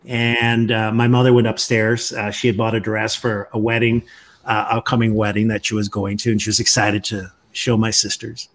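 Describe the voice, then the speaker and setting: A man, a podcast guest telling his life story in a measured way with frequent pauses. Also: lowish voice, level tone, a bit bright. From Northern Ireland. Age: 50s. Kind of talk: storytelling interview.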